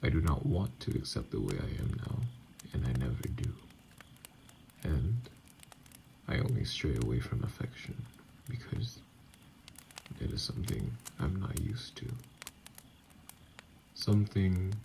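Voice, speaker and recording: A man playing a bitter, wounded vampire outcast in an ASMR roleplay, speaking in a breathy, halting, brooding way that often drops to a whisper. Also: very deep voice, sing-song, noisy background, full character voice. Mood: lonely, resigned. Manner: slow, halting, brooding delivery. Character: wounded, bitter male vampire outcast, self-loathing and lonely.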